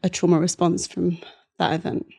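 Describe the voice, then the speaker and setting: Low gravelly woman explaining earnestly. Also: completely flat delivery, normal speed, darkish tone. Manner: earnest, explanatory, frequent restarts. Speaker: guest, somatic therapist. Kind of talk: reflective podcast interview.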